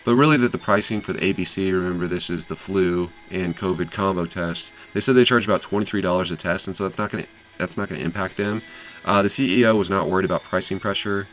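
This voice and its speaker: A man's voice: low-pitched, fast, fairly bright tone. A man hosting an investing channel, casually summarizing an earnings call with lots of fillers and self-corrections.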